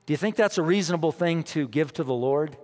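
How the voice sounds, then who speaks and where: Male pastor, emphatic repeating sermon delivery, slightly high voice, long hall reverb. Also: expressive, fast. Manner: emphatic, repeats phrases, rises and drops in loudness.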